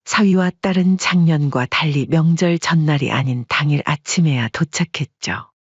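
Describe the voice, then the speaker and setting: A narrator reading a family story in a calm, even storytelling manner, recorded dry and slightly dull. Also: medium pitch, moderate pace, breathy edge, no room sound.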